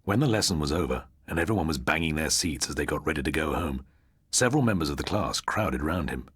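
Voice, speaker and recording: A man's voice: rumbling low, lively intonation, quick pace, a bit bright, slightly rough, no echo, clean studio sound. A British man narrating a ghost story for an audiobook in a dramatic, scripted style.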